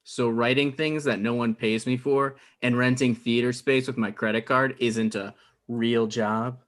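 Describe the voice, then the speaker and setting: A man's voice: mid-range voice, level tone, unhurried, a bit bright, close to an everyday voice. Defensive, petty struggling writer played by a man. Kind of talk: comedy script table read.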